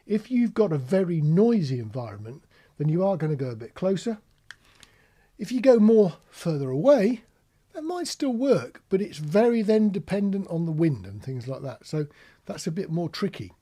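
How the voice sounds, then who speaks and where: British man teaching, high-pitched and husky. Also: sing-song, normal speed, mellow. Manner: explanatory, anecdotal, plain-spoken. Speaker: marine radio instructor. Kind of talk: informal spoken tutorial with practical demonstrations.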